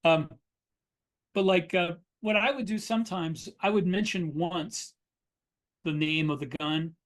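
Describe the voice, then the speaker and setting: A man's voice: high voice, measured pace. A man chatting casually about his craft as a podcast host and writer. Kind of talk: casual shop talk.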